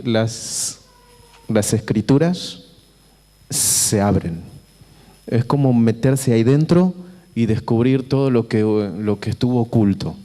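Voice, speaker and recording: Male visiting preacher, Argentine accent, dark soft-edged tone, informal and halting, long auditorium reverb. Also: medium pitch. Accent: Argentine Spanish. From Buenos Aires, Argentina. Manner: conversational, halting, repeats phrases and checks in with listeners. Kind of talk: informal sermon to a congregation. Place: church auditorium. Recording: reverberant hall with long echo.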